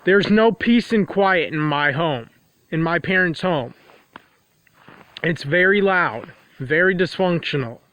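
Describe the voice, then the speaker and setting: A man with a nasal, stuffed-up voice, pitched a touch higher than most men, rambles through a confessional vlog monologue. He repeats himself often and restates the same point several ways. He is recording outdoors in the cold. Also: lively intonation, takes it slowly, a bit bright, lo-fi sound. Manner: repetitive, circling back to restate points. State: congested from a runny nose. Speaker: vlogger.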